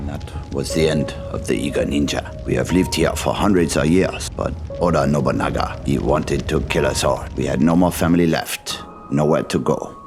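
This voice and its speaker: A man's voice: extremely low pitch, normal speed, hardly any pauses, over music, pitched above the performer's own voice. A man voicing a bitter, vengeful ghost of a ninja, the last of his clan, in dramatic narration. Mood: bitter, mournful.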